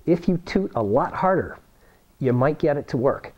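Mellow, slightly husky man. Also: fairly high pitch, lively intonation, moderate pace, highs cut off. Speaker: science toy-making instructor. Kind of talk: how-to demonstration to camera. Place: indoor workroom with cabinets.

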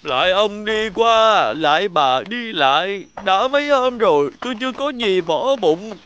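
Pitiful cartoon beggar, high swooping voice, plaintive and humble. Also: male voice, lively intonation, unhurried, a bit bright, muffled recording, slight background noise. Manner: plaintive, chanting appeal. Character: pitiful hungry male beggar, humbly pleading. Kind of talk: animated folktale dubbing.

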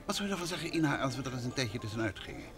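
An actor in an old Dutch radio play, playing an easygoing, gently teasing husband who writes novels, in a relaxed, hesitant manner. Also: male voice, mid-range voice, racing, music in the background, lightly acted.

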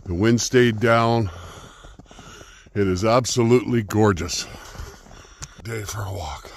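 A man's voice: fairly low pitch, slow pace, a little hiss or hum. A man in his fifties casually vlogging on a walk along a snowy forest trail, rambling with lots of fillers, filmed on a phone held at arm's length. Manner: relaxed, rambling, with many fillers. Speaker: homesteading vlogger. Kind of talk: casual outdoor vlog. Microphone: phone at arm's length, selfie.

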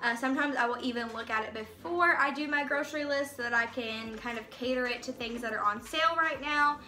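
A woman's voice: high-pitched, brisk, sharp tone. A young woman, a mother, chatting casually and rambling a little as she talks straight to the camera in her home kitchen. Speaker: family vlogger. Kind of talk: casual grocery haul vlog.

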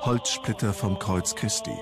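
A man reading scripted documentary narration in a measured storytelling manner. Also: lowish voice, music in the background.

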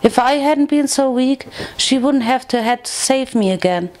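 Lady doing a timid lil girl pony, high voice, stammery n pleading, sounds weepy, story reading. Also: expressive, normal speed, a little mellow. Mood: tearful, guilty. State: crying. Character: timid, guilt-ridden, tearful young female pony.

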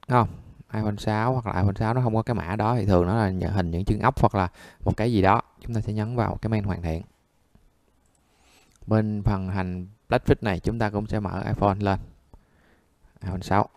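Male instructor, lowish pitch, dry close sound, conversational step-by-step delivery. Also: no room sound. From Vietnam. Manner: conversational, checks often with listeners. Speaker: phone repair instructor. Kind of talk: step-by-step screen tutorial.

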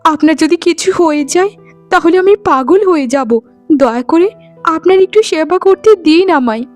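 A woman playing a gentle, dutiful young wife and daughter-in-law, earnest and pleading, in a cartoon melodrama. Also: very high-pitched, moderate pace, soft background music. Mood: worried, pleading.

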